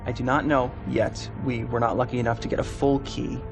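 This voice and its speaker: A man's voice: mid-range voice, flat delivery, brisk, creaky, over music, close to an everyday voice. A man playing a confident, defiant young mech warrior and code-breaker in a game cutscene, speaking earnestly with slight hesitation. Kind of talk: scripted video game cutscene acting.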